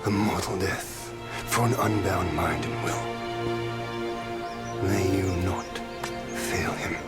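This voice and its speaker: A man voicing a solemn, measured warrior figure in a game cutscene. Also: sits low, loud music behind the voice. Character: solemn male warrior elder, at peace. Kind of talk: video game cutscene voice acting. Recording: game cutscene with loud score.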